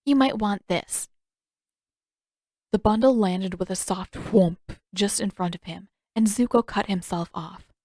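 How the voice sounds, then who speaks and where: A woman's voice: mid-range voice, normal speed, dark tone, dry recording. Woman narrating a story, close dry sound. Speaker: podfic narrator reading fan fiction. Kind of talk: scripted audiobook narration.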